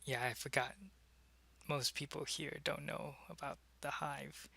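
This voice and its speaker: A man playing a secretive, soldierly alien changeling in an ASMR roleplay, speaking to the listener in a halting, conversational way. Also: fairly high pitch, fairly even intonation, vocal fry, sharp tone, breathy edge, full character voice. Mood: matter-of-fact. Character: alien changeling spy posing as human, loyal, secretive, soldierly.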